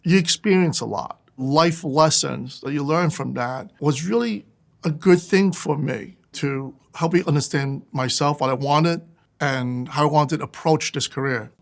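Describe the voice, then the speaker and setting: A man's voice: a little high, unhurried, vocal fry. Plainspoken New man in his fifties. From New York City. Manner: candid, plainspoken, emphatic. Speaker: fund manager guest. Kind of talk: conversational interview monologue.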